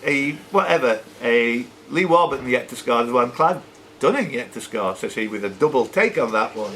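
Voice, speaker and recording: A man's voice: mid-range voice, animated, moderate pace, fairly bright tone. A man hosting a live quiz, reading out questions and throwing in jokey, chatty asides. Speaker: quiz host and question reader. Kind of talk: live pub-style quiz reading with off-the-cuff banter. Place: sports and social club room. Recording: noisy live room recording.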